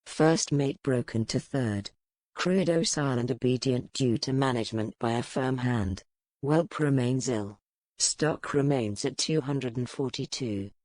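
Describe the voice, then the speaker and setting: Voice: mid-range voice, measured pace, a little mellow, dry recording, pitched below the performer's own voice, lightly acted. A narrator voicing a cruel, pious old sea captain in a clipped, severe, righteous manner, as in an audiobook. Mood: curt, stern. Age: older. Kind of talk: formal ship's log read as dramatic narration.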